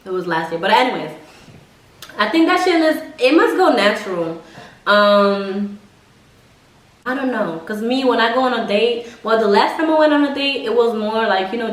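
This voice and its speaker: Echoey far mic, young woman vlogging, casual blunt storytime, swears a lot, expressive. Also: medium pitch. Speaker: vlogger telling personal dating stories. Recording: echoey room, mic at a distance.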